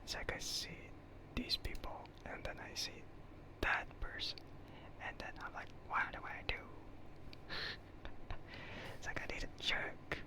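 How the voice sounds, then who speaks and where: A livestream host speaking in a soft breathy whisper, chatting in a rambling, hesitant, self-deprecating way in ASMR style. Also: measured pace, long pauses, low-quality recording.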